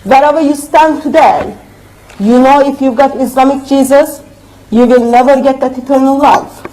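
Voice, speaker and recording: A woman with a high, light voice delivers a debate rebuttal in English, which is not her first language. Her phrasing is earnest, emphatic and a little unidiomatic. She speaks to a room at a university debate. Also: normal speed, dark tone, slight background noise, low-quality recording.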